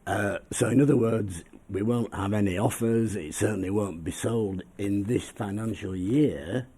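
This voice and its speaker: A councillor with a heavy cold putting polite, deliberate questions to a committee. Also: male voice, fairly low pitch, warm tone, breathy edge.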